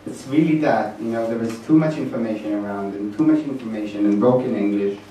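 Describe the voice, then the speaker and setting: A man with an Israeli accent whose first language is Hebrew, speaking as a panelist in a measured, plain way. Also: on the low side, warm tone. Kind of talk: conference panel answer. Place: conference hall. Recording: echoey hall from far off, light noise.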